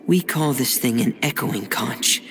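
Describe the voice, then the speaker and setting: An actor playing a shy, polite teenage boy diver, gentle and hesitant, in an anime-style video game. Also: mid-range voice, a little slow, close to an everyday voice. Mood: gentle, encouraging. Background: background game music.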